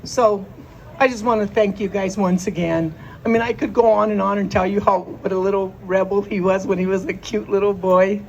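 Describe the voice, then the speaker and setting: A woman's voice: medium pitch, fairly even intonation, normal speed, darkish tone, dull audio, a little hiss or hum. A woman speaking at a memorial as the deceased's aunt, heartfelt and emotional, at times close to tears. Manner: heartfelt, tearful at times, with laughs. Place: memorial gathering.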